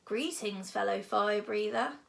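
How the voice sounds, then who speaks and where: A woman's voice: mid-range voice, a little slow, gravelly. A woman voicing a sly, menacing big male dragon with a purring, hissing manner, in a storybook. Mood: sly menace. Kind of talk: storybook character voice.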